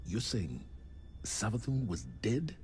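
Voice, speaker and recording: An actor playing a stern, distrustful commander in a game cutscene, grave and emphatic. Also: male voice, lowish voice, very animated, slow pace, music in the background. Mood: incredulous. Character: stern, distrustful military commander, fiercely protective. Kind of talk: dramatic video game cutscene dialogue.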